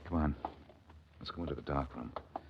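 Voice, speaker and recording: An actor playing a smooth, confident wisecracking detective in a 1940s radio drama. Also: male voice, a bass voice, a little fast. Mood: musing. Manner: dry, wisecracking. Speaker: lead detective. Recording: dull 1940s radio recording, faint hiss.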